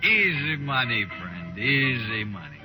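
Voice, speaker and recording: Casting: swaggering, touchy American drifter with a gun, unhurried folksy delivery, vintage radio western sound. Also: male voice, medium pitch, sing-song, music in the background. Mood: boastful, friendly. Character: boastful drunken gunslinger, touchy, menacing drifter. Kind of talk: old-time radio western acting. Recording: old radio drama recording.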